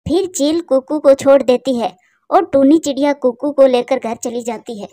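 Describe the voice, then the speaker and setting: A woman's voice: high voice, normal speed, dark tone, no echo, clean studio sound. A woman narrating a scripted children's story as the storyteller. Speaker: narrator and channel host.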